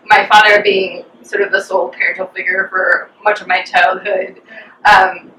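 A woman's voice: medium pitch, level tone, normal speed, pushed and bright, slight background noise. A young American woman, an interview guest, chatting reflectively about her life with many fillers. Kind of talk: casual personal storytelling. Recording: roomy echo, distant from the mic.

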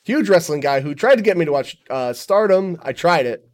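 A man from the United States chatting as a podcast guest, animated and self-mocking, with casual swearing. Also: a little high, moderate pace. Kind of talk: casual chat.

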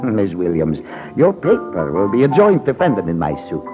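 Deep, suave murder suspect, dramatically swooping. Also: male voice, huge pitch swings, normal speed. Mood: coolly menacing. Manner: formal, precise, dramatic. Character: suave, evasive male murder suspect, formal, menacing and nervy. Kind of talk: 1940s radio drama acting. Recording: muffled old radio recording, organ music.

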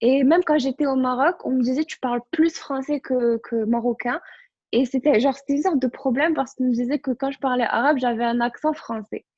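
A woman's voice: high-pitched, brisk, a little mellow, slightly rough, dry recording, dull audio. A young woman from Morocco chatting casually and personally as a student on a podcast panel. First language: French. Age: young adult.

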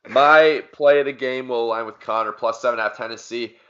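A man's voice: mid-range voice, a little fast, pushed and bright. A man hosting a podcast, talking sports casually with friends. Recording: dull, band-limited podcast audio.

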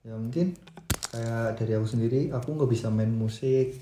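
A man's voice: mid-range voice, steady tone, normal speed, reverberant, background noise. A young man, hosting a casual podcast chat, joking and laughing often with slangy remarks. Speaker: podcast host.

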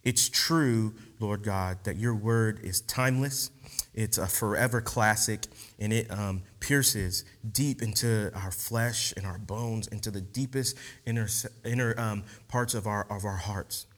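A man's voice: on the low side, measured pace. A man giving a casual, slangy sermon after an opening prayer, talking with many fillers. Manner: relaxed, slangy, animated teaching with many fillers. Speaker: preacher. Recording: long hall reverb.

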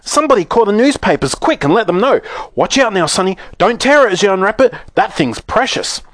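A man voicing a loud, excitable fat shopkeeper, exclaiming in a children's audiobook. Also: high voice, brisk, no room sound, voice pushed up. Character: fat, pleasant shopkeeper turning wildly excited, shouting man.

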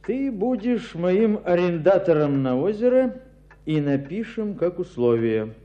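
An actor playing a lordly landowner in a radio play, deliberate and condescending. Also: male voice, sits high, big pitch swings. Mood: commanding. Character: lordly gentleman landowner bargaining with his tenant. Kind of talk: Russian radio play acting. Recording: dull radio drama recording.

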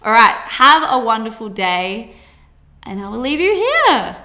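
Bubbly young Australian woman, bright voice. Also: fairly high pitch, sing-song. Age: 20s. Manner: bubbly and chatty, quick laughs. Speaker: podcast host. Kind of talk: solo podcast monologue.

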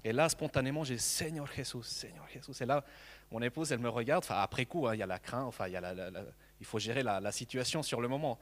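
A man in his thirties from Mexico, a native Spanish speaker, preaching with earnest conviction, often stumbling over and repeating small words. His voice sits at an ordinary middle pitch. The sound carries a long reverb, as in a large church hall. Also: quick pace. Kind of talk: sermon.

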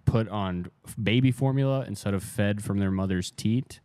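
American guy on a comedy podcast, lowish voice, casual mock serious riffing. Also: normal speed, dark tone. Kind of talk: casual comic banter.

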